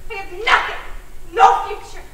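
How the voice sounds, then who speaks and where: Very high and sharp, this is a bitter, despairing teenage girl acted by a young woman in a stage play. Her delivery is theatrical and sarcastic, heard from far off in a very echoey room. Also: normal speed. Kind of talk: one-act stage play monologue and dialogue. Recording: echoey stage recording from far off, faint noise.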